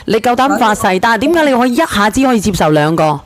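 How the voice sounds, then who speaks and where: A woman's voice: on the high side, very animated, quick pace, crowd noise. A woman hosting a casual, probing call-in talk show.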